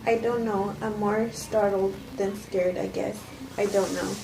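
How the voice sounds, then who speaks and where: Creaky-voiced guarded widow read by a woman, echoey room. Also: medium pitch, steady tone, unhurried, natural voice. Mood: guarded, startled. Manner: understated, hesitant amateur line reading. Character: guarded, composed widow held by an intruder. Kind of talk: cold table read of a film script. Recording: echoey room with clear background noise.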